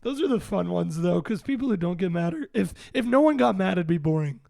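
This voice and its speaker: High, raspy young man hosting a podcast. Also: animated, a little mellow, dry recording, studio quality. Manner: rambling, joking, quick restarts. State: hoarse, voice worn out. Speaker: podcast host. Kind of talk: casual podcast chat.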